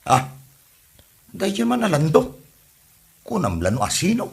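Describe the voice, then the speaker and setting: A man's voice: sits high, wildly swooping pitch, unhurried, creaky, exaggerated voice. A man playing an aggrieved, whiny freeloading husband in a comedy audio drama, with an Ilocano Filipino accent. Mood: indignant. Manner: complaining, sulky.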